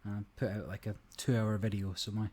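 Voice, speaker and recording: A man hosting a gaming channel, talking through a card game in a relaxed, rambling way, his voice a little croaky. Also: lowish voice, quick pace. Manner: relaxed, rambling, many fillers. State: slightly croaky voice. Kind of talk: casual gameplay commentary.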